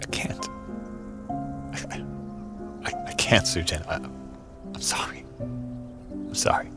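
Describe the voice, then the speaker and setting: An actor playing an anguished, self-loathing married man in an audio drama, halting and emotional. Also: loud music behind the voice, lightly acted. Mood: panicked, apologetic. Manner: halting, emotional, dropping to whispers and rising to outbursts.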